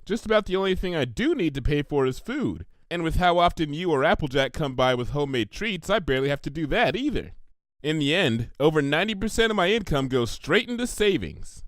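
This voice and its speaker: A bookish young female cartoon pony, as a man acts her out, prim and earnest. She lectures precisely and matter-of-factly, a little high for a male voice and full of lively inflection. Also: a little fast, no room sound. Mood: explaining, embarrassed.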